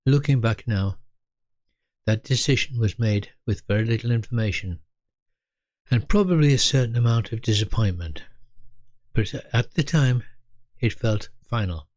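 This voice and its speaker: An elderly man in his eighties narrates a reflective, scripted memoir in measured, deliberate short phrases. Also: medium pitch, expressive, darkish tone, no room sound, lo-fi sound. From Britain. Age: 80s. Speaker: storyteller recalling his youth.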